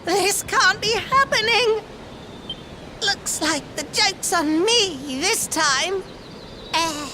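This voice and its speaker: Very high-pitched, boastful little devil. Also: female voice, expressive, extremely slow, heavy background noise, full character voice. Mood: dismayed. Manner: theatrical, taunting showman. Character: mischievous, boastful little devil monster trickster. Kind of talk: video game character acting.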